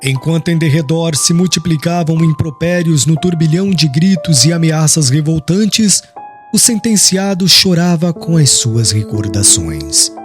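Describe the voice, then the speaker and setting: Solemn, higher-pitched male audiobook narrator. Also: expressive, unhurried. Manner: solemn, literary delivery. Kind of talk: dramatic scripted narration. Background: music.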